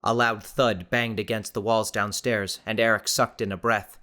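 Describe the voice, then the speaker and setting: A man's voice: on the low side, level tone, moderate pace, bright, forceful tone. A man reading scripted, dramatic story narration as an audiobook narrator.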